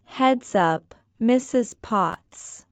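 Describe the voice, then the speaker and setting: A woman language teacher slowly repeating scripted lines for shadowing practice, enunciating each one with care. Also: a little high, animated, background noise. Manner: careful, deliberate enunciation.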